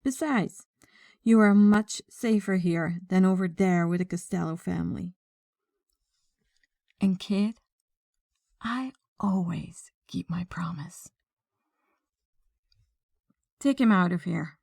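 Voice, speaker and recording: A woman playing a commanding, coolly threatening female mafia boss in an audio roleplay, speaking deliberately and with authority. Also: lowish voice, gravelly, warm tone, dry recording, clean studio sound, full character voice. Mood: calm, controlled. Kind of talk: ASMR audio roleplay acting.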